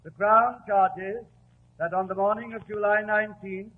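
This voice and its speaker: An actor in a 1940s radio drama playing a stern court clerk with formal, declamatory speech. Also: male voice, high-pitched, fairly even intonation, moderate pace, natural voice. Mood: solemn, formal. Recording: tinny old radio with faint hum.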